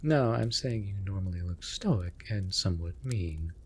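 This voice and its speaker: A man's voice: low voice, animated, unhurried, slightly rough, exaggerated voice. A man voicing a male raven familiar in a fantasy comfort audio drama, affectionate and cheeky, with mock-polite, playful banter. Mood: playful teasing. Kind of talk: scripted comfort audio roleplay.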